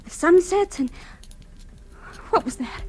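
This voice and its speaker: An actress playing a fragile, panicked young woman in an old radio horror play, breathless, halting and repeating herself. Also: very high voice, lively intonation, full character voice. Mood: terrified. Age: young adult. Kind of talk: 1940s radio horror acting, monologue. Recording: old radio drama with music underneath.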